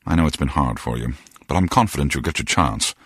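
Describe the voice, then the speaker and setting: A veteran secret agent for a spy game, an older mentor with a very low, husky voice. He is wry and dry-witted, with an expressive swing in his delivery. The sound is close and dry. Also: fast, dry recording, exaggerated voice. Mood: stern, fatherly. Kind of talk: video game voice acting.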